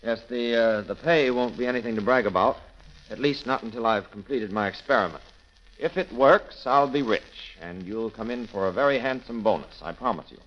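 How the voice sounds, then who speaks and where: An actor playing an intense, obsessive inventor, brusque and excitable, in 1930s radio romance style. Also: male voice, medium pitch, normal speed, close to an everyday voice. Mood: fervent. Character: intense, obsessive male inventor experimenting on skin cures. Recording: muffled, crackling 1930s radio recording.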